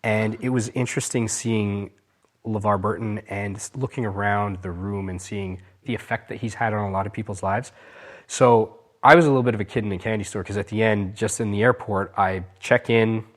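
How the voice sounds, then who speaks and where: A man with a fairly low voice hosts an interview. He talks casually and conversationally, like a podcaster chatting with a guest. His manner is enthusiastic and openly admiring. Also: steady tone, normal speed.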